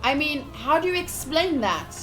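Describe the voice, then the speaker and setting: High voiced girl streamer reading a visual novel out loud, bit dramatic then chatty, faint music behind. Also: very animated, moderate pace. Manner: switches between dramatic read-aloud and chatty asides. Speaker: game streamer. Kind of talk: live visual novel playthrough, reading aloud and commenting to chat.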